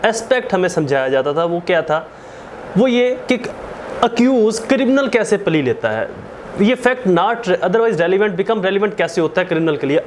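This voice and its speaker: A man's voice: high-pitched, a little hiss or hum. A man with a Pakistani accent teaching as a law lecturer, explaining in a didactic way, repeating and rephrasing key terms and mixing in English.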